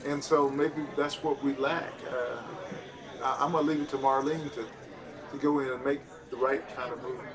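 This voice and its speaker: A man's voice: mid-range voice, steady tone, normal speed, recorded from a distance, a crowd in the background. A man giving his views casually as a panelist in a group discussion.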